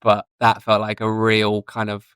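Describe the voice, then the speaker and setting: A man's voice: on the low side, completely flat delivery, a little slow, a bit bright, clear, resonant tone, no echo, studio quality. A man in his thirties chatting casually and giving his opinions as a podcast guest. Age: 30s.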